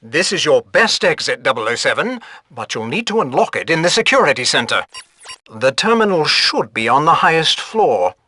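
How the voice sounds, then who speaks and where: An actor playing a fussy British gadget master in a spy video game, speaking formally in a clipped, dryly exasperated way. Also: male voice, a little high, very animated, normal speed, bright, forceful tone, heavily acted. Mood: brisk, instructive. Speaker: mission support quartermaster. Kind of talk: video game mission briefing voice acting.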